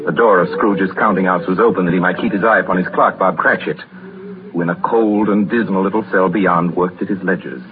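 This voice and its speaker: An actor playing a wry storyteller, narrating deliberately and emphatically in 1930s radio drama style. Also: male voice, deep voice, music in the background, natural voice. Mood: grave, measured. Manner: deliberate, emphatic, relishing each word. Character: wry, measured male storyteller of a ghostly tale. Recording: narrow-band, faintly noisy 1930s radio recording.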